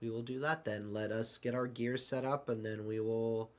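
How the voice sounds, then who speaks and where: A man playing bewildered, apologetic travelers in an amateur audio drama, with a halting, stammering delivery. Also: mid-range voice, steady tone, close to an everyday voice. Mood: nervous, polite. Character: bewildered, apologetic accidental travelers stranded in the void.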